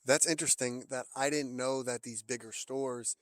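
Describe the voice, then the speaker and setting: A man interviewing someone in a casual, conversational way, with somewhat halting phrasing. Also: medium pitch, fairly even intonation, no room sound.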